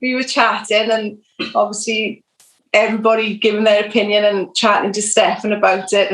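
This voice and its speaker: A woman's voice: mid-range voice, fairly bright tone. A Welsh woman from South Wales, a podcast guest telling her faith story in a rambling way, full of ums. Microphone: video call. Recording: echoey room over video call.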